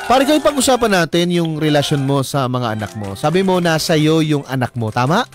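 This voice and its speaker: A man's voice: fairly high pitch, very animated, a little fast. A man with a Filipino accent hosting a casual radio interview, informal and inquisitive. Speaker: radio host. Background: background music.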